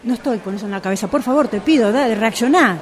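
An anxious, exasperated Argentine shopkeeper played by a woman in amateur radio theatre. She talks fast in a slightly high voice, pleading and pressing for answers. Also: slight background noise, natural voice. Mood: agitated. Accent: Argentine Rioplatense. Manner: insistent, pleading, exclaiming. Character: exasperated, anxious woman shop owner named Diana, short of money.

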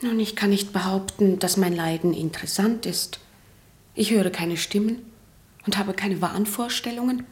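An actress in a German radio play as an earnest, troubled patient, polite, hesitant and self-doubting. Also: female voice, medium pitch, normal speed, a little mellow, lightly acted.

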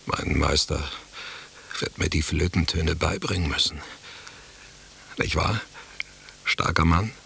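An actor playing a sly, mocking, jilted husband in a literary radio play, with florid, ironic musing and a husky voice. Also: male voice, rumbling low, slow pace, long pauses, a bit bright, a little hiss or hum, exaggerated voice. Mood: brooding, musing.

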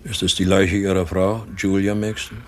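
A man's voice: sits low, level tone, moderate pace, close to an everyday voice. A man acting a sombre, considerate police official in a radio drama, speaking formally and with some reserve. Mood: grave, careful. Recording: loud vehicle and ambient noise.

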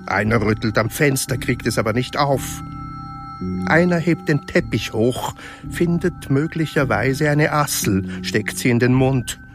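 Slow, measured male narrator. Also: medium pitch. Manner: measured, matter-of-fact. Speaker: narrator reading stage directions. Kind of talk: scripted narration in a radio play.

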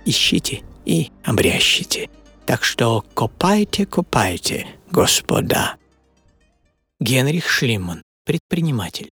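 An actor playing an obsessive middle-aged entrepreneur in a dramatic first-person radio monologue, speaking theatrically with pauses and half-whispered phrases. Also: male voice, medium pitch, big pitch swings, fairly bright tone, breathy edge, no echo, exaggerated voice. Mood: proud, impassioned. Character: obsessive middle-aged entrepreneur and treasure hunter recalling boyhood. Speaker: author and performer of a radio programme. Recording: music under studio voice.

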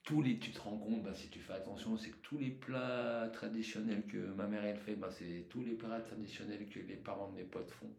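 Echoey low-voiced Frenchman, Arabic speaker. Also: fairly even intonation, moderate pace, vocal fry, few pauses, darkish tone. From France, Paris region. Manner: emphatic, rhetorical questions, repeats phrases for emphasis. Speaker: football coach, podcast guest. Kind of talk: impassioned conversational interview. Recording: echoey room sound.

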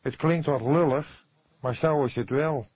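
A man's voice: fairly high pitch, darkish tone, breathy edge, dry recording, pitched above the performer's own voice. Een man die in een luisterboek een angstige jonge verdachte speelt, verdedigend, oprecht, emotioneel en soms haperend. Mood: defensive, earnest. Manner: halting, pleading, earnest. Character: anxious young male suspect, defensive and emotional. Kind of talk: audiobook character dialogue.

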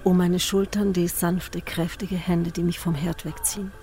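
A woman's voice: on the low side, level tone, moderate pace, mellow, lightly acted. An actress playing a grieving woman in a radio drama, waiting for her missing man and narrating inwardly in sparse, fragmented phrases. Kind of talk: German radio drama, literary monologue. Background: music bed.

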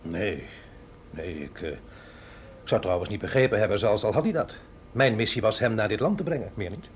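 A man's voice: lowish voice, animated, a little fast, slight background noise, natural voice. An actor in a radio drama playing a wry, understated male agent, a curious outsider who questions and hesitates. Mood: hesitant, wry.

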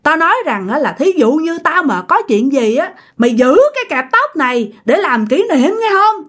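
A woman's voice: on the high side, big pitch swings, normal speed, fairly bright tone, slightly rough, full character voice. A woman with a Southern Vietnamese accent voicing a jealous, bitter female ghost in a told horror story, accusing and cursing with insistent repetition. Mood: vengeful, cursing. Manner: accusing, repeats phrases insistently, bursts of menacing laughter.

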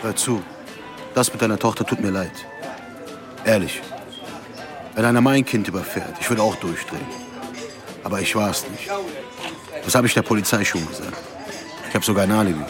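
A man's voice: lowish voice, normal speed, long pauses, close to an everyday voice. A man acting as a cocky, menacing street-racer from Lebanon in a radio drama, blunt, casual and mocking. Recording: music behind the voice.

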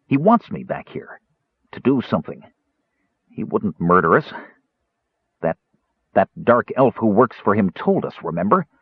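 A man voicing a big, resigned warrior who mutters in a dull, low voice. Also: big pitch swings, a little slow, long pauses, husky, no echo, heavily acted. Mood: weary. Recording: dry tinny phone-like sound.

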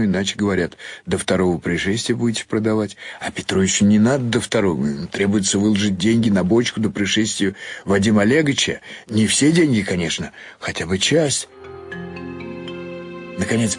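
A man narrating a literary short story in a dramatised radio reading. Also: lowish voice.

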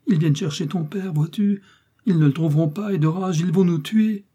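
A man's voice: mid-range voice, expressive, moderate pace, warm tone, husky, pitched above the performer's own voice. A man voicing a frightened, pious peasant mother, trembling and imploring as in an audio storybook. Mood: desperate. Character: terrified pious peasant mother pleading for her child.

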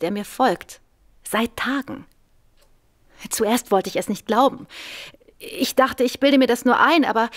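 A woman's voice: fairly high pitch, lightly acted. An actress playing a nervous, anxious woman seeking help in a radio drama, speaking hesitantly and earnestly.

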